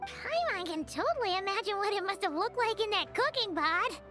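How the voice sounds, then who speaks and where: A woman voicing a tiny, excitable childlike fairy companion in a video game, exclaiming and referring to herself in the third person. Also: extremely high pitch, normal speed, over music. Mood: thoughtful. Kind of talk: video game character dialogue.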